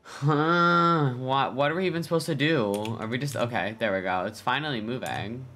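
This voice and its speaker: A man's voice: mid-range voice, normal speed, sharp tone. A man casually reacting live to a video game, anxiously repeating himself. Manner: repeats words over and over when anxious.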